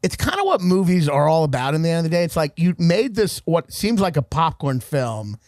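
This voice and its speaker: A young man co-hosting a casual movie podcast, talking in an excited, rambling way and laughing often. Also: on the high side, fairly quick, dry recording, clean studio sound. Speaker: podcast co-host.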